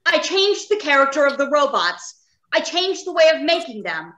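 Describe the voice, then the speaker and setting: Woman playing a high-pitched, animated, earnest scientist. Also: normal speed, lo-fi sound, a little hiss or hum, full character voice. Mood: confessing. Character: defiant, guilt-ridden scientist, earnest and dramatic. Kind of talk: old-time radio drama acting.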